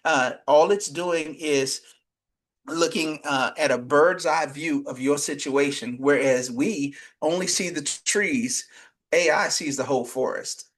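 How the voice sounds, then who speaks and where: A man guesting on a podcast talks in an earnest, explaining way, with a voice pitched a little high for a man. He often restarts his sentences as he builds his point, in the easy tone of a coach. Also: measured pace, fairly bright tone. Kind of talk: conversational interview.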